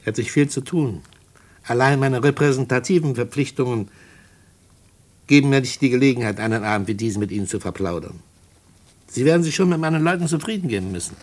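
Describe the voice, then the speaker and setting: An actor in a German radio play playing a suave, condescending gang boss, urbane and falsely courteous, with deliberate hesitations. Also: male voice, medium pitch, a little mellow, close to an everyday voice. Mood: lofty, genial.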